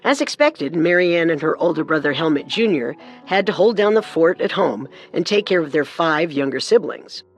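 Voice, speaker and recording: A woman narrating a scripted true-crime story, measured and with storyteller's emphasis. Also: low voice, lively intonation. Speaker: co-host narrator. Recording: music under the voice.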